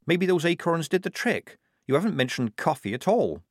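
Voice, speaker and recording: Level-headed young female recruit, male narrator acting, practical, lifted pitch, dry recording. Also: big pitch swings, moderate pace, dry recording. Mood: practical, cautious. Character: sensible young woman soldier, disguised as lad.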